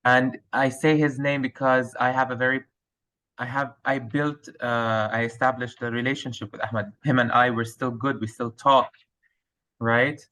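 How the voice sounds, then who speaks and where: A man's voice: mid-range voice, fairly even intonation, normal speed, pushed and bright. A male teacher with a Lebanese accent, answering interview questions thoughtfully with frequent fillers and restarts. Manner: hesitant, many fillers and restarts.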